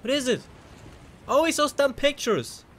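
A man's voice: very high-pitched, big pitch swings, unhurried, a bit bright. A man in his twenties from Germany giving a casual, exclaiming reaction commentary, often questioning himself. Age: 20s. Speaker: host of a reaction channel. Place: small indoor room with shelves, at a desk. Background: music from the video he watches.

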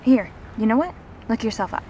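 A woman playing a gentle, reassuring revenant in an intimate audio roleplay, speaking earnestly and haltingly. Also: a little high, lively intonation, background noise, natural voice. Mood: soothing, earnest. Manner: halting, earnest, soft-spoken pauses.

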